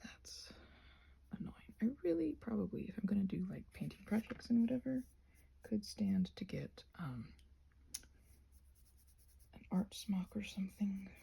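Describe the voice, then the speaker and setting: A woman chatting casually and playfully while crafting, often interrupting herself with trailing asides, her voice breathy and often dropping to a near-whisper. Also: medium pitch, lively intonation, unhurried, pauses often, mellow. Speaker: craft and ASMR video creator. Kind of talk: casual rambling vlog chat while crafting.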